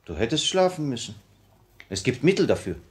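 An actor in a 1950s German radio play playing the firm, caring leader of a revolutionary cell, measured and probing. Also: male voice, mid-range voice, big pitch swings, a little hiss or hum, lightly acted. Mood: concerned, probing.

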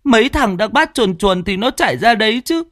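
A man's voice: sits high, lively intonation, a bit bright, dry recording, clean studio sound, voice pushed up. A man doing a whimpering scared boy. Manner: whimpering, hurried.

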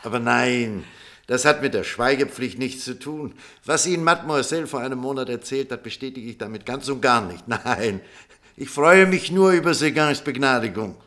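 An actor playing an earnest, conflicted doctor in love in a German radio drama, speaking in a formal, insistent manner. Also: male voice, medium pitch, lively intonation, normal speed, bright, forceful tone. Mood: relieved, joyful.

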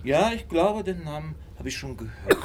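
Animated, slyly ironic male detective. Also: a little high, normal speed, heavy background noise. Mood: suave, casual. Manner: suave, ironic, feigning innocence. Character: shrewd male detective, cool, commanding, slyly amused. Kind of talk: radio play acting.

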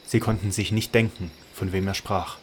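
A man narrating a scripted radio drama in a measured, even way. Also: low voice, slight background noise.

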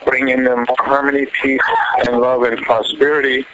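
A man talks over a dull, clearly noisy phone recording. His pitch is mid-range. He rambles haltingly as a caller, circling back and rewording what he says. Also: flat delivery. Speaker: caller to a prayer line. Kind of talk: unscripted phone conversation. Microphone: telephone.

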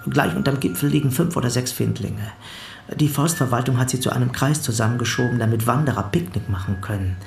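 Gravelly, dutiful parish helper, played by a man. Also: medium pitch, fairly quick, a little mellow, soft background music, close to an everyday voice. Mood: earnest recounting. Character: dutiful male parish helper recounting to an investigator. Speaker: witness. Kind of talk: German radio drama acting. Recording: roomy sound with faint background ambience.

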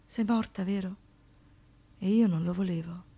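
An actress playing an anguished survivor of violence in a poetic radio monologue, speaking in halting phrases that shift between hush and outburst. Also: female voice, medium pitch, slightly rough. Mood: hushed, bewildered. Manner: halting short phrases, dropping to whispers, rising to outbursts.

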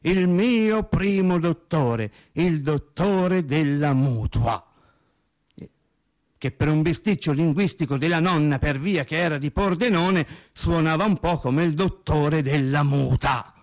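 A man acting a theatrical radio monologue as an agitated, rambling working man who recalls his childhood in halting, repetitive colloquial speech. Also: a little high. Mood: uneasy, recounting. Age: adult over thirty. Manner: halting, repetitive, colloquial storytelling with stammered restarts.

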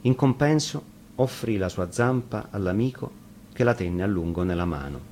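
A man with a fairly low voice tells a children's fairy tale from a script. He works as the storyteller, and faint noise sits behind the recording. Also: lively intonation, normal speed, a little mellow.

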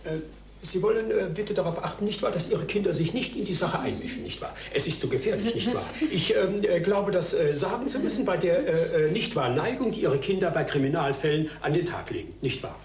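A man's voice: high voice, a little mellow. Guy playing amused dad doing a pompous stammery official, echoey, away from mic. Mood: mock pompous. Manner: halting, pompous mimicry with verbal tics. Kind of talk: German audio drama acting. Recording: roomy, distant microphone.

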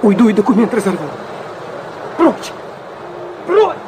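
A man's voice: high voice, sing-song, a little slow, noisy background. An actor playing a feverish, proud young man, narrating his own torments intensely and theatrically in a radio play. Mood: frantic. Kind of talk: dramatic first-person radio play narration.

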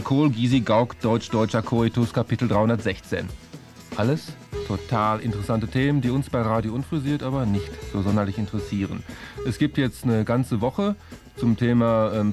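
A man's voice: mid-range voice, darkish tone. A man hosting a radio magazine show in a loose, tongue-in-cheek way with mock grandeur.

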